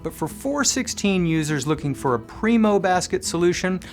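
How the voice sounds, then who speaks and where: A man presenting a scripted product review, explaining things with clear enunciation. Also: high-pitched, animated, moderate pace, faint music behind. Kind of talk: scripted product review narration with live demo commentary.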